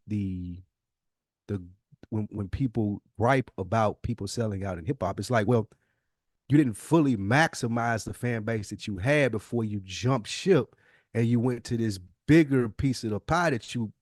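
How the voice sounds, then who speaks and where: A man's voice: medium pitch, darkish tone, no room sound, studio quality. An American man co-hosting a podcast, talking casually in long, emphatic, opinionated explanations. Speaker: podcast co-host. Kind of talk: casual conversational discussion.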